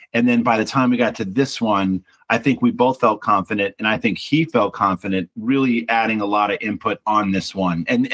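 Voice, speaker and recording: A man with a baritone voice talking about his band's album in a casual interview, reflective and chatty, often restarting with small repeats. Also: a bit bright. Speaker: band singer and songwriter.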